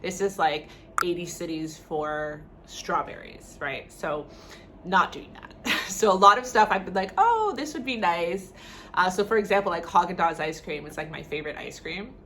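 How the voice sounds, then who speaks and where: A young Canadian woman vlogger with a fairly low voice speaks casually and frankly, sharing her opinions as if chatting to viewers. Her talk is sprinkled with "like" and "you know", over noticeable background noise. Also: normal speed, pushed and bright.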